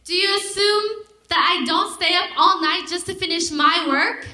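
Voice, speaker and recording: A teenage girl delivers a declamatory spoken word piece, her very high voice sharp and bright. She speaks into a handheld mic on a theater stage, and the hall rings around her. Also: normal speed. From United States. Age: teens. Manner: declamatory, emphatic. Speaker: student performer. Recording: roomy theater sound.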